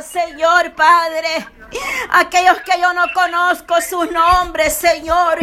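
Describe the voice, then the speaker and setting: A woman prays fervently in a very high voice that stays within a narrow range. Her delivery is slow and pleading, close to crying, as she calls out to God again and again. Some ambient noise sits behind her in the amateur recording. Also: pushed and bright. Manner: pleading, emotional, repeatedly calling out to God. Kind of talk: fervent spoken intercessory prayer.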